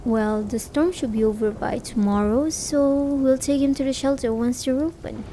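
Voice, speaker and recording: A woman's voice: high voice, moderate pace, mellow, a little hiss or hum, close to an everyday voice, poor audio. A woman acting a caring, worried, hesitant partner in a realistic slice-of-life ASMR roleplay. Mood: exasperated, gentle. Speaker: amateur voice actor. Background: rain sound effects.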